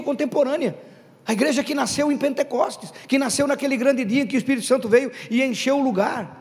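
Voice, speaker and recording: A man preaching a Pentecostal sermon to his congregation. He talks emphatically, calls out questions to the room and builds to loud stressed bursts. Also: very high voice. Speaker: pastor. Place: church hall. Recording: reverberant hall with faint background music.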